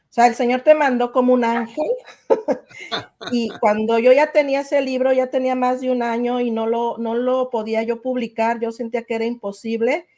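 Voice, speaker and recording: A woman hosts a faith-based podcast interview in a fairly high, mellow voice. She talks effusively and gratefully, often pausing on "eh.". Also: steady tone. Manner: warm, effusive, grateful, with many "eh" fillers. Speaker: podcast host. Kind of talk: casual interview chat.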